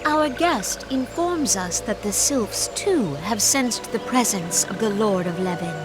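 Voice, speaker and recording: A woman playing a gentle, dignified leader of a forest nation in a video game, speaking in a formal, measured, earnest and courtly way. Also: a little high. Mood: grave, hopeful. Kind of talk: scripted video game voice acting. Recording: loud music behind the voice.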